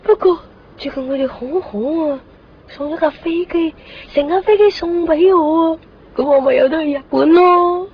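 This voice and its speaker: A woman's voice: extremely high pitch, moderate pace, a little hiss or hum. A woman acting a blunt, wistful, matter-of-fact teenage schoolgirl in a Cantonese radio drama, sighing, with a Hong Kong accent. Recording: dull lo-fi radio recording.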